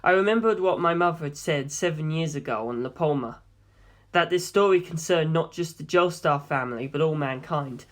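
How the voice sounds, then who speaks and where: Lady doing a serious young guy telling his story, voice dropped low, kinda guarded, audiobook. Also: normal speed, natural voice. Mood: grave. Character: Jorge, earnest guarded young man, narrating his story. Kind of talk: first-person audiobook narration.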